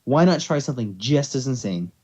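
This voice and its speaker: A man's voice: mid-range voice, lively intonation, fairly quick, a little mellow, lo-fi sound. A man narrating amateur fan fiction, now and then stumbling over words as he reads. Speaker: story narrator.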